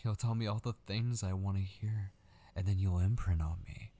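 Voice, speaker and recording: A man acting an obsessive, possessive kidnapper in an intimate ASMR roleplay, patient and menacing, hushed and often slipping into a breathy whisper. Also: deep voice, steady tone, normal speed, a little hiss or hum, lightly acted.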